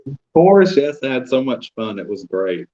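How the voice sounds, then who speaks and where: A man from Houston, speaking earnestly and haltingly as a show guest. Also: medium pitch, big pitch swings, mellow. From Houston, Texas. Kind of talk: casual interview. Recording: muffled call audio.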